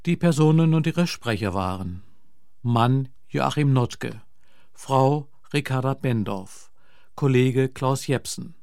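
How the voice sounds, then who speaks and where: A man's voice: mid-range voice, creaky, a little mellow, no room sound, studio quality. A man reading credits as a formal radio announcer in 1960s broadcast style.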